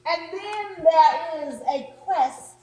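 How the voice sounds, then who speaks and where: A woman preaches slowly in a high voice. Her oratory is emphatic and repetitive for effect, and the room echoes strongly around her. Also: lively intonation, dark tone. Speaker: preacher delivering a sermon. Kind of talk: oratorical sermon. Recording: echoey hall.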